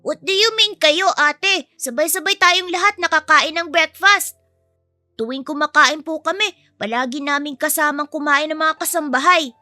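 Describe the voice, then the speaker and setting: A woman's voice: sits high, moderate pace, pushed and bright, dry recording, clean studio sound, faint music behind, pitched above the performer's own voice. A woman voicing a polite, earnest, sweet little boy in a dramatised story.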